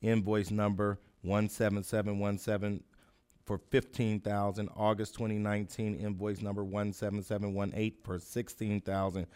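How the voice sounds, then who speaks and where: A man's voice: fairly low pitch, level tone, moderate pace, a little mellow, smooth. A man chairing a public board meeting, hesitant with many fillers as he reads items.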